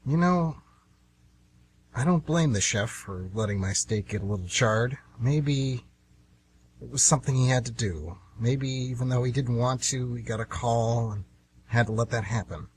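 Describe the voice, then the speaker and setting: Male actor as polite rueful hitman, mid-pitched, measured, understated, radio play, noisy recording. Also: natural voice. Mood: somber, distracted. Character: smooth, rueful male hitman posing as friendly tourist. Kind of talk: amateur radio play acting.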